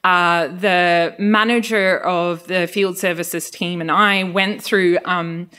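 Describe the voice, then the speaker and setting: A woman researcher with an Australian accent over a Greek first language, giving a measured academic talk with occasional fillers. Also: lowish voice, fairly even intonation, pushed and bright. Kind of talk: festival lecture, partly read. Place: lecture venue.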